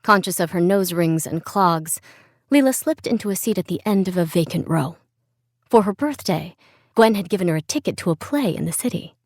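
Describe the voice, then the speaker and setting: A woman's voice: on the low side, animated, normal speed, a little mellow, no room sound. Woman narrating fiction in a dry studio. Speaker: audiobook narrator. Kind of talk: scripted literary fiction narration.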